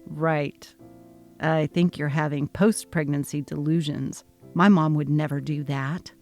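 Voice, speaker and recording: A woman voicing a young husband who talks calmly and dismissively. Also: low voice, measured pace, faint music behind. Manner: calm, brushing off, patronizing. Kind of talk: dramatic reading of a text-message story.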